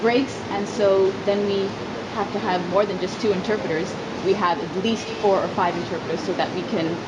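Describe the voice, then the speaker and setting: Loud, steady noise sits behind a woman's mid-pitched voice. She interprets in a formal interview setting, explaining things in measured phrases. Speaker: sign language interpreter voicing an interviewee.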